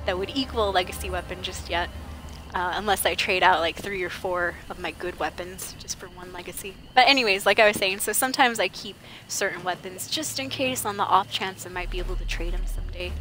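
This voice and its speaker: A woman's voice: medium pitch, a bit bright. A young woman streaming a game from home, chatting casually and thinking aloud as she sorts and prices her loot. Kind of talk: casual gameplay commentary. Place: home gaming setup, indoors.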